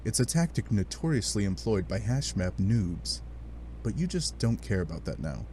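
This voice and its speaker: A man giving animated, sardonic narration of a comic adventure, with playful dramatic asides. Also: fairly low pitch, moderate pace, a little hiss or hum. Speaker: narrator of a fan dub read-along.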